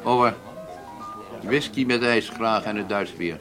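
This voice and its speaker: An actor playing a reserved German engineer in a radio drama, formal and measured, with a gravelly voice. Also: male voice, lowish voice, music in the background, lightly acted.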